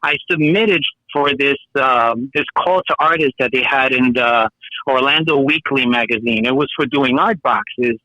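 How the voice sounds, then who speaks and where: A man's voice: mid-range voice, normal speed, pushed and bright, no echo. A man of about fifty, a guest telling his story in a rambling, hesitant way full of fillers. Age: 50s. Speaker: guest artist. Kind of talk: casual interview chat. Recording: dull lo-fi sound.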